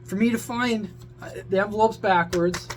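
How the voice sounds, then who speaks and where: High-pitched man ranting casually over humming noise. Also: normal speed. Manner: blunt, sweary, rambling. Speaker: amateur radio vlogger. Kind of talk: casual opinionated rant to viewers.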